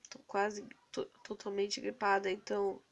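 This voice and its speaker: A young Brazilian woman with a cold, hoarse and stuffy-nosed, venting earnestly into her phone camera in a rambling casual vlog. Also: medium pitch, completely flat delivery, measured pace, lo-fi sound. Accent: Brazilian Portuguese. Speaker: vlogger. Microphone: phone camera held close to the face.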